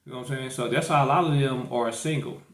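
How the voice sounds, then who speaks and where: A man's voice: mid-range voice, steady tone, fast talker, fairly bright tone, reverberant, slight background noise. A man hosting a podcast, talking loosely and rambling, with many restarts and fillers. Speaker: podcast host. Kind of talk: casual chat.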